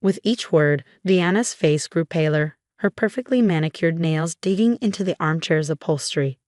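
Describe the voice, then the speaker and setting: A woman narrates a scripted, dramatic first-person story. Her voice sits fairly low for a woman, and the sound is dry and close, with no room around it. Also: normal speed, darkish tone, no echo.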